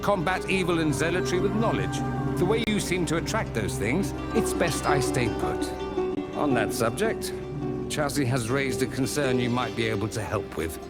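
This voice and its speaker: An actor playing an erudite, wry mage scholar in a fantasy video game, eloquent and theatrical. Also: male voice, medium pitch, lively intonation, moderate pace, lightly acted. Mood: wry, thoughtful. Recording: loud music behind.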